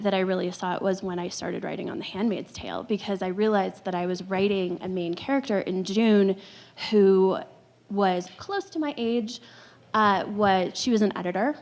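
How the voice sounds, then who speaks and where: Woman writer, panel talk, reflective personal manner, mid-pitched voice. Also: normal speed. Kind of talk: panel discussion.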